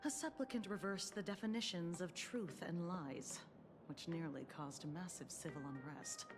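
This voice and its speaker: A woman voicing a calm clinical officer. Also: lowish voice, lightly acted. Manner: measured, formal, clinical. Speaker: game character. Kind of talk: dubbed game dialogue. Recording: game voice with background music. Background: game music.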